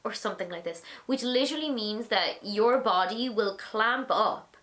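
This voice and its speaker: A young Irish woman in her twenties, hosting a vlog and answering viewer questions in a frank, animated, chatty way. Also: mid-range voice, moderate pace. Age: 20s. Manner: animated, acts out little voices, frank and chatty. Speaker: vlogger, host of an advice series.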